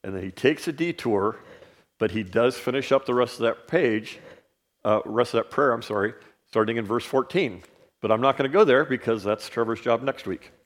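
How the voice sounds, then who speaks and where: A man whose first language is not English, teaching a Bible lesson in a relaxed way, with many fillers and frequent "right?" checks. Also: medium pitch, moderate pace.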